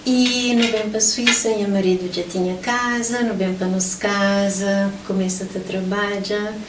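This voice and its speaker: A woman's voice: mid-range voice, level tone, a little slow. A middle-aged woman from Portugal telling her life story as an interview guest. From Portugal (Lisbon). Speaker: interview guest, motivational event organizer. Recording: roomy, distant mic, faint background noise.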